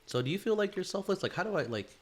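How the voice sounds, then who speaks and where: A man's voice: high-pitched, very animated, quick pace. Easygoing man in his thirties asking questions. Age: 30s. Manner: easygoing, swears, asks probing questions, many fillers and restarts. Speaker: podcast host. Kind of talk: casual chat.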